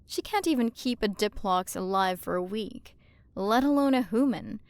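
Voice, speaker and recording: A woman's voice: medium pitch, darkish tone, no room sound, natural voice. A woman playing a haughty, possessive alien galaxy queen in an ASMR roleplay, condescending and flirtatious as she toys with the listener. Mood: smug, disdainful. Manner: condescending, flirtatious, drawn-out delivery with breathy drops into whispers. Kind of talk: ASMR roleplay, scripted character acting.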